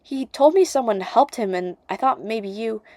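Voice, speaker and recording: A woman's voice: on the high side, animated, normal speed, darkish tone, no room sound, lightly acted. Lovestruck audiobook teen heroine, read by a woman: hesitant, flustered, full of questions, dry close recording. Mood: suspicious. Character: flustered, lovestruck teenage girl, unsure yet persistent. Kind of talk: audiobook character dialogue.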